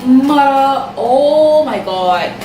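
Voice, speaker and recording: A young woman with a Bahamian accent tells a personal story to camera in a chatty, animated way, full of asides and quoted back-and-forth. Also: high-pitched, very slow, recorded from a distance. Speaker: makeup artist vlogger. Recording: echoey room, off-mic, steady background noise.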